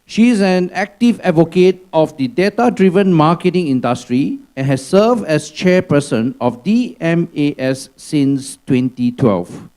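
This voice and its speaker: A man's voice: on the high side, measured pace, hardly any pauses, darkish tone. A man serving as a conference emcee, formally introducing the next speaker. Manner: formal, announcing. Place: conference hall.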